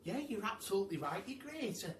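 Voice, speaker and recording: Man voicing a timid, halting lady giraffe. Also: high-pitched, big pitch swings, echoey room, recorded from a distance, pitched above the performer's own voice. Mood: timid, apologetic. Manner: halting, sighing and apologetic. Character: timid, polite female giraffe, hungry and overwhelmed.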